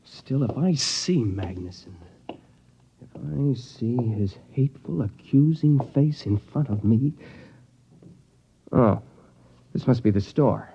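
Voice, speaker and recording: An anxious, agitated man, swinging pitch. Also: animated, creaky, warm tone, a little hiss or hum. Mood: tormented. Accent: American. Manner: nervous, urgent, halting. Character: anxious, agitated man fighting a homicidal urge. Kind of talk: mid-century American radio drama acting. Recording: dull vintage radio recording.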